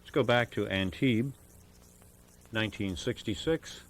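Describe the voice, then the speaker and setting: Low-voiced man announcing on jazz radio. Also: unhurried. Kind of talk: scripted jazz radio announcing with track introductions. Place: radio studio. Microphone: broadcast studio microphone.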